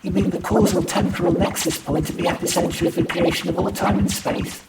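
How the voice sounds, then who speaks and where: A man acts out an earnest, lovestruck alien actor in a sci-fi story, raised in pitch and full of anxious pleading. The recording is very noisy, with the voice set back from the mic. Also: fairly quick, gravelly. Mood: breathless. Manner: earnest, breathless, soothing. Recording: noisy amateur recording, distant microphone.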